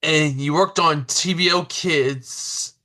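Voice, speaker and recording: A male podcast host with a rather high, bright voice asks his questions slowly. He hesitates and stumbles over his words now and then. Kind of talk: interview questions.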